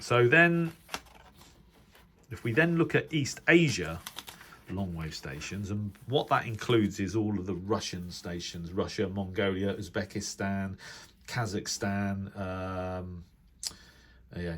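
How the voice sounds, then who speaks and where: A man's voice: fairly low pitch, fairly bright tone. A man giving casual, informal hobbyist commentary, thinking aloud and correcting himself as he rambles through explanations. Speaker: radio hobbyist vlogger. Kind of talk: casual informal commentary, reviewing a reference book.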